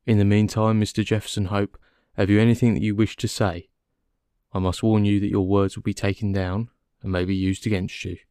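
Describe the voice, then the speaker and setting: A man's voice: fairly low pitch, flat delivery, brisk, dark tone, clear, resonant tone, no room sound, clean studio sound, natural voice. A man voicing a dull, unemotional police inspector with a formal, mechanical manner in an audiobook. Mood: dutiful. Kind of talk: audiobook character dialogue.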